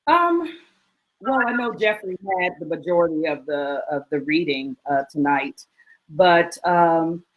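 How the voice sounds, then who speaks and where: A woman's voice: low-pitched, animated, measured pace, narrow, tinny audio. A woman, a guest performer, reflecting earnestly and hesitantly in an informal panel discussion.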